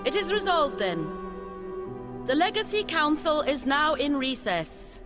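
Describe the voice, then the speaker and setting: An orderly official in a fantasy game. Her high voice moves animatedly through formal, ceremonious phrasing, with music clearly behind it. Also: sing-song, measured pace. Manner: ceremonious, proclaiming. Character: formal, orderly female announcer of a fantasy council. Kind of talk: video game cutscene acting.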